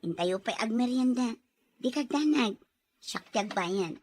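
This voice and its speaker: A woman's voice: fairly high pitch, expressive, measured pace, darkish tone. A woman acting a sincere, stubborn young working woman in an audio drama, with an earnest, emotive delivery. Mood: friendly.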